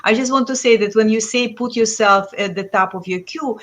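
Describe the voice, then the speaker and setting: A woman's voice: fairly high pitch, a little fast, fairly bright tone. Lithuanian-accented woman hosting an interview. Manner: hesitant, many fillers, enthusiastic. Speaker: host. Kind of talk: interview host.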